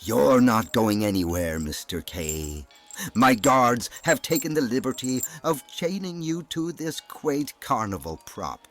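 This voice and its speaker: An actor playing a cold, gloating regime villain in an audio drama, speaking with mock-polite, deliberate menace. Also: male voice, mid-range voice, sing-song, faint music behind. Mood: sinister control.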